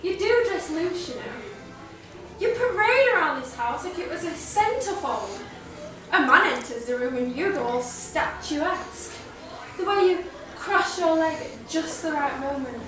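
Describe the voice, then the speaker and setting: Woman acting a scornful high-voiced woman, echoey room. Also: animated, unhurried. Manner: scornful, emphatic, projected stage delivery. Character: angry, accusing young woman berating her housemate. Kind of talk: theatrical dramatic monologue. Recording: very echoey noisy room, distant mic.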